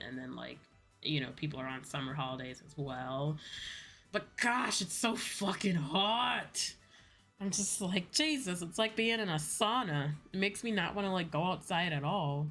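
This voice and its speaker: A woman's voice: low-pitched, fairly bright tone. A woman chatting casually to her livestream viewers in a rambling way, peppered with "like" and casual swearing. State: sleepy. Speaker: VTuber livestreamer; school teacher. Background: music behind the voice.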